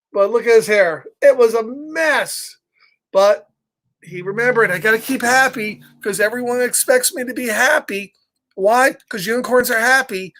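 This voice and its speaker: A middle-aged man hosting a live children's story time, reading aloud with animated, playful asides and questions to his listeners. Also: very high voice. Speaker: storybook read-aloud host. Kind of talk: live children's story time, chatting with viewers between lines of the book.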